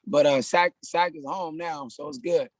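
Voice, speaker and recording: A man in his thirties from California, a personal trainer talking about his life as a podcast guest in a relaxed, casual way, often saying "man". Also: on the high side, moderate pace, dry recording. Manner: laid-back, frequent "man" and restarts. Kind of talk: casual conversational interview.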